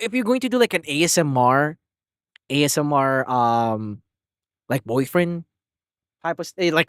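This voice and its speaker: A man live streaming, chatting casually to his viewers in an excitable, rambling way with lots of restarts. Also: a little high, dry recording, clean studio sound. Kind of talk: casual chat to a live audience.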